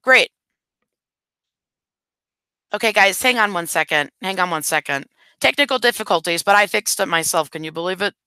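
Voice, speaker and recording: A woman's voice: lowish voice, animated, normal speed, pauses often, pushed and bright, smooth, no room sound. A woman hosting a live stream in casual chat, talking straight to her viewers while losing her voice. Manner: chatty, addresses viewers directly.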